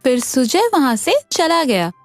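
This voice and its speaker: Female narrator for animated stories; high register, swooping intonation. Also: measured pace, no room sound, soft background music. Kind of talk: scripted story narration.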